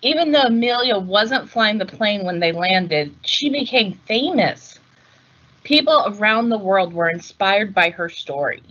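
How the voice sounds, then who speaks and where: A woman reading a picture book aloud as host, in a measured, deliberate storytelling delivery. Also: mid-range voice, lively intonation, fairly bright tone, dull audio. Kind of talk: scripted picture-book narration.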